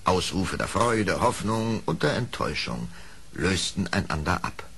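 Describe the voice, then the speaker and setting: A man's voice: low-pitched, very animated, measured pace, gravelly, fairly bright tone. A man narrating an audio play as a dramatic storyteller, reading from a script. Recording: faint hiss of old record.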